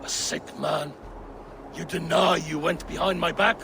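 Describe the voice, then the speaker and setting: Vengeful Viking commander, video game drama, imperious, gravelly, fairly high male pitch, clear outdoor noise behind. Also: steady tone, a little slow. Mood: bitter, accusing. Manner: imperious, accusatory, declamatory.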